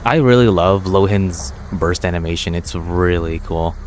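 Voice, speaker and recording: A man's voice: low voice, normal speed, a little hiss or hum. A man hosting a gaming video, giving casual gameplay commentary.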